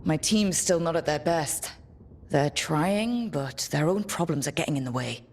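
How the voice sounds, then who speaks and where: A woman's voice: sits low, lively intonation, measured pace, darkish tone, exaggerated voice. A woman playing a determined, blunt leader of a band of heroes in a video game cutscene. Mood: troubled. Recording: music behind the voice.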